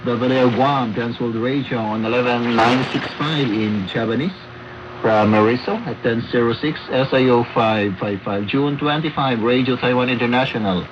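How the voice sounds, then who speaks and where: A man's voice: mid-range voice, moderate pace, lo-fi sound. A man from the Philippines with a Filipino accent, reading a scripted report as a radio correspondent. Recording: noisy shortwave radio broadcast.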